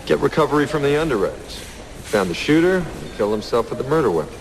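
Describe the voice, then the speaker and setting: An actor playing a smug, condescending security chief in a crime audio drama, brusque and self-important with veiled menace. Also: male voice, medium pitch, big pitch swings, quick pace, noisy background.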